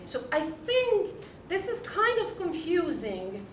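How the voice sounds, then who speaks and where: High-voiced parent woman, echoey hall. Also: very animated, slow. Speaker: parent speaking at public comment. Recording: distant mic, echoey room, noisy.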